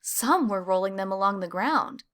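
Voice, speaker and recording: A woman reading a children's picture book aloud, with animated emphasis on key words. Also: medium pitch, normal speed. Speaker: storybook reader.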